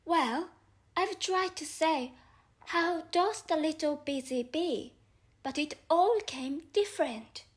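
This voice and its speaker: A well-mannered, puzzled young girl from a children's storybook, played by a woman. Her voice is very high, and she speaks slowly and earnestly, choosing her words with care. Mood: restrained, unhappy. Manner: polite, earnest, careful.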